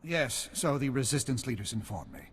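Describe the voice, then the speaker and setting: A stern, disapproving colonel from a video game. The voice is husky and animated, ringing in a hall. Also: male voice, mid-range voice, normal speed. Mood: indignant. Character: stern, disapproving male army colonel, rigid and indignant. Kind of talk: scripted video game cutscene acting. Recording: long hall reverb.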